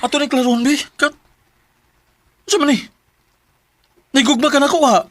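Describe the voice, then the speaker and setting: A man's voice: very high voice, steady tone, normal speed, a bit bright, dry recording. A man with a Filipino Bisaya accent acting a lovesick, jealous young security guard in a radio drama, questioning insistently and emotionally. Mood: hurt, accusing.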